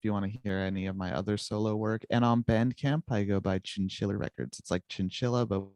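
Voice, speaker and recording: A man's voice: on the low side, steady tone, a little fast, darkish tone, dry recording. A young man whose first language is Czech, chatting casually as a guest musician, rambling and joking at his own expense. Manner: rambling, self-mocking, laughs often. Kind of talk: casual interview chat.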